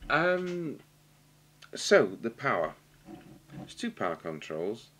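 A British man giving a halting, matter-of-fact technical demonstration, thinking aloud as he works at a workshop bench. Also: medium pitch, big pitch swings, fairly bright tone. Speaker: radio repair technician demonstrating. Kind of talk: unscripted technical demonstration commentary. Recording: clear background noise and hum.

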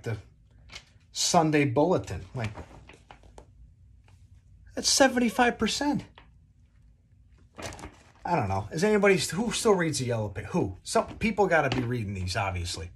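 A man in his twenties telling a casual, comedic story to camera in an animated, exaggerating way, recorded on a phone in a room at home. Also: fairly high pitch, normal speed, long pauses, a little hiss or hum. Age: 20s. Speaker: vlogger. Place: small dim room at home, indoors.

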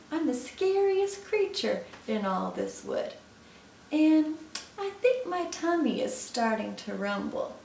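A woman's voice: sits high, creaky, reverberant, distant mic, a little hiss or hum, voice pushed up, exaggerated voice. A woman voicing a small, clever, boastful mouse in a storybook, speaking with emphasis.

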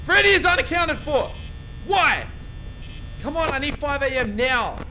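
A young man playing a horror game and recording through a headset at home, muttering to himself and breaking into panicked shouts. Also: very high-pitched, very animated, unhurried, a little hiss or hum, poor audio. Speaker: gaming YouTuber. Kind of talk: horror game let's play. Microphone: over-ear headset.

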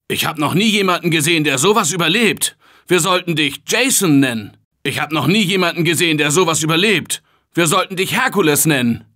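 A man's voice: on the high side, expressive, brisk. A man voicing an upbeat male office worker surviving a zombie outbreak in a video game, calling to his team in an urgent, encouraging way. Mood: reassuring. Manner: urgent encouraging team calls, shouting under attack. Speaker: game character voice. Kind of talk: video game voice lines, dubbed.

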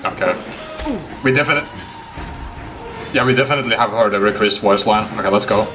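A man's voice: on the low side, a little fast, over music. A young man livestreaming a game, chatting casually with his viewers as he plays. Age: young adult. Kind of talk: casual gaming stream commentary. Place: indoor room, streaming setup.

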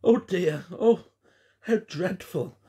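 A man's voice: high-pitched, expressive, takes it slowly, mellow, breathy edge, voice pushed up, full character voice. A man voicing a prim, easily shocked woman in an audiobook, exclaiming and imploring. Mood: distressed pleading.

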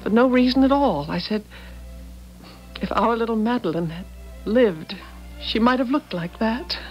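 An actress in a 1970s radio drama playing a gentle, fretful, long-suffering wife in a genteel theatrical manner. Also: female voice, fairly high pitch, lively intonation, measured pace, muffled recording. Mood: wistful. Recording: 1970s radio broadcast, music behind.

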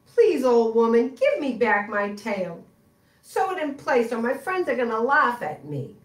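Storybook fox, male, young, begging, pitched up high, put on by a woman reader. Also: big pitch swings, unhurried. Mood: pleading. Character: pleading young male fox who lost his tail. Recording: roomy echo, far from mic.

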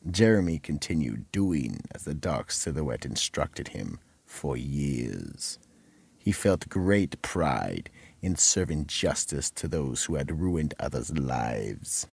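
A male narrator reads a radio mystery script gravely. His voice is extremely deep and husky, and he takes his time over each phrase. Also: sing-song, a little mellow, dry recording. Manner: measured, grave.

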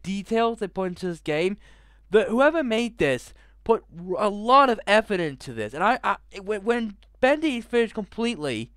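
Animated young man reading a game aloud. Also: high voice, clean tone, no echo, studio quality. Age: 20s. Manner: animated, laughing asides and sudden shouts. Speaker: gaming channel host. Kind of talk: reading a visual novel aloud with commentary. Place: bedroom at a desk. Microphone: headset mic.

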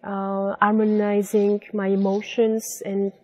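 A young woman talks slowly and haltingly, reaching for her words with many fillers. Her voice is mellow and even, with little rise and fall. She is speaking in a garden outdoors. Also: mid-range voice. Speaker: interviewee. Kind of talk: reflective interview answers.

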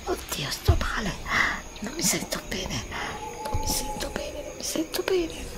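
A woman's voice: extremely high pitch, huge pitch swings, unhurried, voice pushed up, full character voice. A woman playing an anxious, desperate heroine lost in a magic forest, breathily repeating herself in short bursts in an ASMR roleplay. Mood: distressed. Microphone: close USB studio microphone.

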